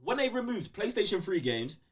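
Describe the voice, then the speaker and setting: Animated, high-pitched London man ranting. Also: fairly quick. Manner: ranting, emphatic, repeats phrases for emphasis, slangy. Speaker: podcast host. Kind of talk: heated casual gaming podcast banter.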